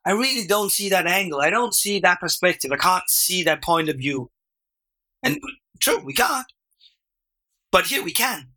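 Danish guy explaining stuff on a podcast, lots of "right?" and restarts, bright tense voice. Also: high-pitched, normal speed, pauses often. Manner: animated, explains with rhetorical "right?" and repeated restarts. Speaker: podcast guest, workshop facilitator. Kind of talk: conversational interview.

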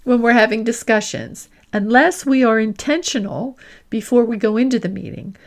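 Woman coach giving emphatic, deliberate instruction. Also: fairly high pitch. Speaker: career coach and podcast host. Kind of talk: instructional podcast talk with acted example dialogue.

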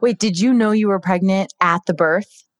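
A woman's voice: mid-range voice, normal speed, no echo. A woman interviewing casually, with short reactions and questions. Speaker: podcast interviewer.

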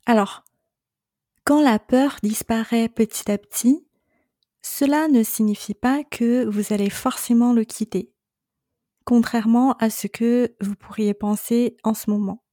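Slow, mellow-voiced woman coach. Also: fairly high pitch, dry recording, clean studio sound. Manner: calm, reassuring, speaks directly to the listener. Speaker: separation coach and podcast host. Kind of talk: solo coaching podcast monologue.

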